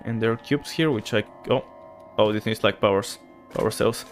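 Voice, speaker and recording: A man's voice: on the low side, moderate pace, faint music behind. A man from Bulgaria with a light Bulgarian accent, a streamer chatting loosely with viewers and thinking aloud as he plays. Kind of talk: casual gameplay chat with viewers.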